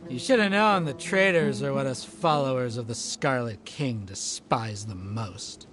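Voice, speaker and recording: An actor playing a sneering, fanatical desert thug with a taunting manner in a video game. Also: male voice, medium pitch, measured pace, music in the background, close to an everyday voice. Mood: menacing. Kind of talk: anime-style video game voice acting.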